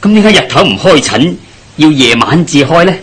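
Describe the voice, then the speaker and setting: Chatty, bright-voiced husky village fellow. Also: male voice, on the high side, big pitch swings, a little fast, exaggerated voice. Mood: inquisitive. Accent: Hong Kong Cantonese. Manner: chatty, inquisitive, colloquial. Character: chatty, good-natured Cantonese village man, nosy and joking. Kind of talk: Cantonese radio drama acting.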